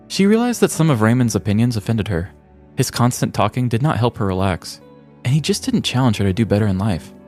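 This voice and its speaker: A man's voice: on the low side, a little mellow. An American man hosting a podcast, reading a scripted comedic story with arch, theatrical mock-seriousness. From United States. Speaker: podcast host.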